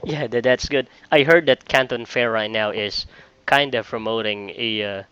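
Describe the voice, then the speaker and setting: Bright, crisp-voiced man on a call. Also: mid-range voice, no room sound, lo-fi sound. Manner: halting, repeats phrases, often says "you know". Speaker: virtual assistant interviewee. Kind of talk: casual job interview call. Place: online call.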